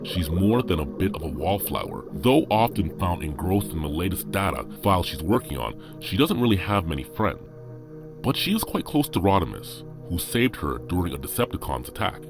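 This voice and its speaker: Deep, dramatic male narrator over music. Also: sing-song, normal speed, gravelly. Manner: solemn, dramatic.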